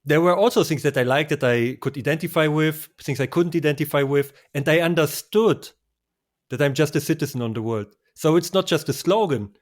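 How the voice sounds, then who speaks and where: A man who grew up speaking talks in English as a guest on a travel podcast. He speaks earnestly in simple, slightly off phrasing, and his voice sits a little higher than most men's. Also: a little fast. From born in Poland, grew up in Germany. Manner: earnest, simple phrasing with non-native slips. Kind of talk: casual interview chat.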